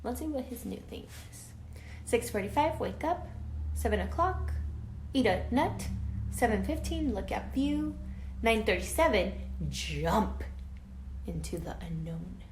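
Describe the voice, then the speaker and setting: Animated woman reading aloud in a roomy space. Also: on the high side, unhurried. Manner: dramatic, expressive, asks the children questions. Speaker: teacher reading a picture book aloud. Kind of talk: animated children's read-aloud with asides to listeners. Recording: roomy echo with faint background noise.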